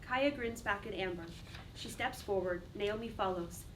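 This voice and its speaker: A woman's voice: medium pitch, moderate pace. A woman reading stage directions as a scripted dramatic narrator. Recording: distant mic, faint background noise.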